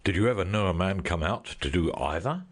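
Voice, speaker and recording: Casting: woman playing a deep, husky, blustering doctor, lively and cranky, audiobook. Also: brisk. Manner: gruff, blustering. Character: irritable bustling middle-aged male doctor.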